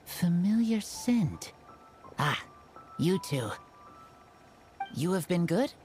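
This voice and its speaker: Feral teen hunter: earnest, slow, terse, halting delivery with lively pitch, game role. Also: male voice, exaggerated voice. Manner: halting, terse broken phrases without articles. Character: earnest wolf-raised teenage boy hunter. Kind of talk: video game voice acting. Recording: game music behind.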